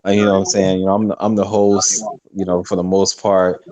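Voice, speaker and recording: A man's voice: sits low, little pitch movement, fairly quick, darkish tone, poor audio. A man hosting a casual podcast, rambling loosely as he thanks his listeners. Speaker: podcast host. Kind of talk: casual chat.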